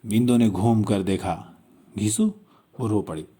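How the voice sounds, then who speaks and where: A man's voice: lowish voice, a little slow, dark tone, slightly rough. A man narrating a literary story in a dramatic storytelling style.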